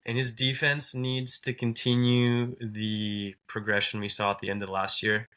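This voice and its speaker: Bright-toned man with brief measured replies. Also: mid-range voice, level tone, smooth. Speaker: podcast co-host. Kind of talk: casual sports podcast chat.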